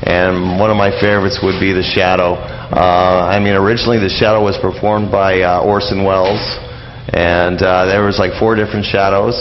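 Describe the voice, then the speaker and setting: A deep-voiced man talks casually as a radio interview guest. He rambles, halting often and doubling back on words. The recording is dull and lo-fi, with noise in the background. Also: little pitch movement.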